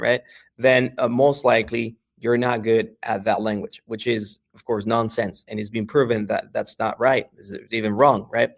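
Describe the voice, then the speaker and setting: Gravelly Mexican American man. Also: medium pitch. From New York, USA. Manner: frequent "right?" and "uh" fillers, restarts. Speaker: guest, language educator. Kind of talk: casual interview talk.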